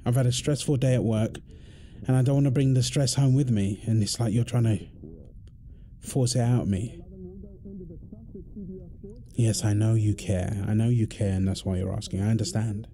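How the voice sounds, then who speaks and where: A man's voice: on the low side, fairly even intonation, moderate pace, pauses often, close to an everyday voice. Man playing a worn-out husband over background noise. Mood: weary, defensive. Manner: weary sighs, snappy, then apologetic. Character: tired, irritable husband, firefighter home from work. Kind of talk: intimate roleplay audio drama.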